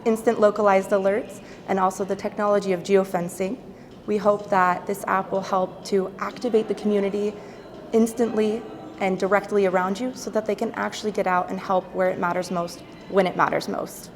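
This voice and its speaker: A woman presenting a product to an audience in a prepared public speech. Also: mid-range voice. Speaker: presenter for an app developer.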